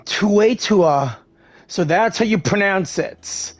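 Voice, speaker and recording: Slow-talking man doing game commentary. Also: high-pitched, expressive. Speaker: gamer reacting to the story. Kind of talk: casual playthrough commentary.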